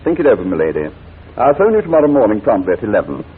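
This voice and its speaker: An actor playing a coolly polite, menacing blackmailer in a 1940s radio drama, speaking in formal, mockingly courteous phrases. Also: male voice, on the low side, big pitch swings, very fast, slightly rough, exaggerated voice. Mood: menacing persuasion. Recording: noisy, tinny 1940s radio recording.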